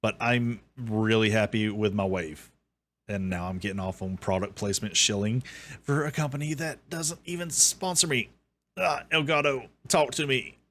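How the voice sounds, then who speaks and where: A man with a deep, bassy voice giving sarcastic, ranting commentary on another streamer's clip. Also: normal speed, fairly bright tone. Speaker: commentary host. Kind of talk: reaction commentary.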